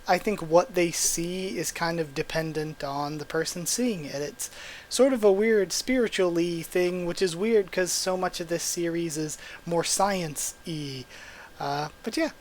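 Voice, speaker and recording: A hoarse young man with a cracking voice, hosting a casual manga readthrough and chatting excitedly as he goes. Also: a little high, a little slow, a little hiss or hum. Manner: chatty, excitable, thinks out loud. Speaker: channel host reading manga aloud. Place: small room at home. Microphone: gaming headset mic.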